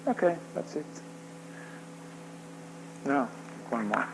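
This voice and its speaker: A man whose first language is Swedish takes audience questions at a conference. He is casual and self-deprecating. The voice sits mid-range, with steady hiss behind it. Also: medium pitch, takes it slowly, vocal fry. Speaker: conference speaker. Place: conference hall.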